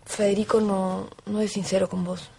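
A woman's voice: medium pitch, little pitch movement, normal speed, vocal fry, dark tone, slightly rough. An actress playing a caring, direct young woman in a telenovela, speaking with an Argentine accent. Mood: earnest. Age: young adult. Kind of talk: telenovela acting. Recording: music playing under the dialogue.

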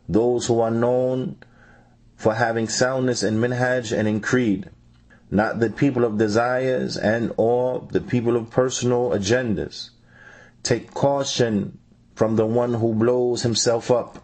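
A man reading a translated religious teaching aloud, phrase by phrase with deliberate breaks. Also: mid-range voice, darkish tone.

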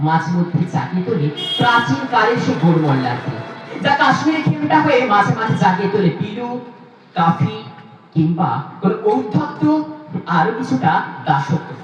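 A man's voice: high voice, expressive, normal speed, a bit bright, soft background music. A man, a poet, giving a dramatic, declamatory recitation of verse with emphatic repeated phrases. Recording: very echoey, distant mic, faint noise.

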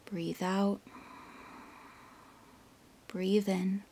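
A woman leading a guided meditation in a soft, breathy voice that often nears a whisper, giving gentle instructions with long pauses. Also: medium pitch, flat delivery, slight background noise. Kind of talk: guided yoga nidra meditation.

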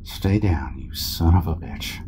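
A man's voice: deep voice, animated, a little slow, background noise. A man playing a blunt, sarcastic, aggrieved fighter in an audio horror drama. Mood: aggressive.

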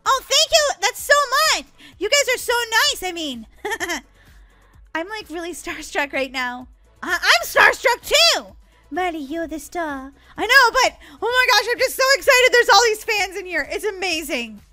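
A woman voicing excitable, dramatic young sisters in a gaming roleplay skit. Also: high-pitched, measured pace, faint music behind. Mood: starstruck. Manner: dramatic, whiny, exclaiming. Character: excitable, dramatic girl gamer and her bickering sister.

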